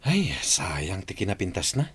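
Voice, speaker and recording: A curious male servant, played by a man who gossips with pity in a radio drama. His low, husky voice moves widely in pitch. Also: very animated, unhurried, dry recording, heavily acted. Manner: pitying, curious.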